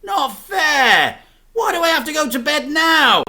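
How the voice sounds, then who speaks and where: A man voicing an earnest, curious young boy who asks eager, slightly halting questions. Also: extremely high pitch, sing-song, bright, forceful tone, pitched above the performer's own voice, full character voice.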